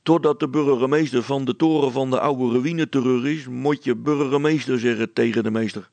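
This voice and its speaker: A man's voice: medium pitch, fairly even intonation, normal speed, darkish tone, dry recording, pitched above the performer's own voice, close to an everyday voice. A man voicing a simple, bumbling village policeman in a storybook, blunt and muddled. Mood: matter-of-fact. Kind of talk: dramatized storybook reading.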